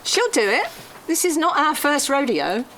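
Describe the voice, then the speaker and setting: A woman's voice: high voice, very animated, normal speed, pushed and bright, a little hiss or hum, heavily acted. A woman at a script read-through playing a brash, bossy friend on a night out, sarcastic and teasing. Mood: goading. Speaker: actor at a script table read.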